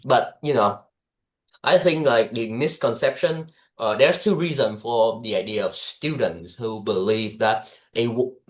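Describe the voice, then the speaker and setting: A man's voice: mid-range voice, unhurried. A man with a Vietnamese accent, co-hosting a casual discussion, talking loosely with many fillers and small laughs.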